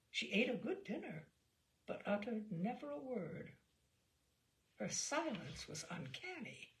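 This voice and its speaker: A woman narrating a literary short story in a measured, articulate, expressive way. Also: mid-range voice. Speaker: storyteller, reader.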